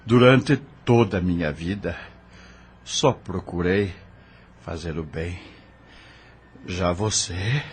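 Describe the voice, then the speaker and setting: An actor playing a calm, defiant prisoner facing death, speaking slowly and gravely in an audio drama. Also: male voice, deep voice, a little hiss or hum. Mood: serene defiance. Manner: slow, grave, serene defiance. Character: dying imprisoned father, calm and defiant.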